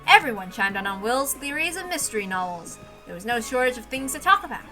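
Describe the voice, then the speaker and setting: A woman reading a visual novel aloud in an expressive, informal way, dramatic in narration and casual in asides. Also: fairly high pitch, fast, faint music behind, rough recording.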